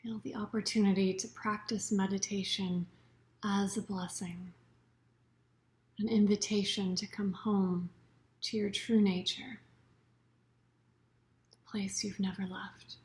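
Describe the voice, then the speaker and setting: A woman teaching a guided yoga nidra meditation in a soothing, unhurried way, her phrases often trailing off into soft breath. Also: mid-range voice, flat delivery.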